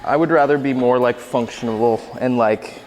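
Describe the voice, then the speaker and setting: A man's voice: mid-range voice, fairly even intonation, normal speed, a little mellow, a little hiss or hum. Easygoing young guy in echoey gym. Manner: easygoing, agreeable, casual slang. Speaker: workout partner. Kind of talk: casual gym chat. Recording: echoey gym with music behind.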